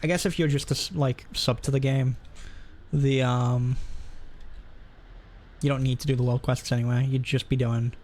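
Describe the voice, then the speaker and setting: A man's voice: medium pitch, steady tone, moderate pace, long pauses, a little mellow. A man live streaming a game, rambling casually and thinking aloud as he comments and chats.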